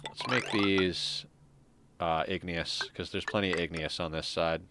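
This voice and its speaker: A man with a low voice and a stuffy, congested nose talks through a game he is streaming. He mutters his plans half to himself, mocks his own choices and chuckles often. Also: fairly even intonation, a little slow, background noise. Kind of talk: casual gameplay commentary.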